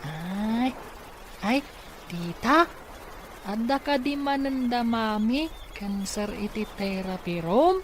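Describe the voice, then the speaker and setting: A woman's voice: on the high side, lively intonation, slow pace, long pauses, a little hiss or hum. A woman playing a helpful, curious young relative in an Ilocano radio drama.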